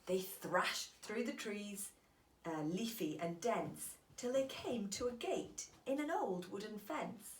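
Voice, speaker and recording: A woman reading a children's storybook aloud as a teacher, in an expressive, deliberate storytelling manner. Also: mid-range voice, roomy sound, a little hiss or hum.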